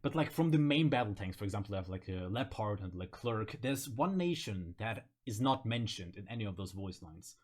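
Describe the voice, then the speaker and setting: A man's voice: on the low side, animated, a little fast, hardly any pauses, darkish tone. A man hosting a gaming video, explaining things in casual conversation. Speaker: host of gaming video series.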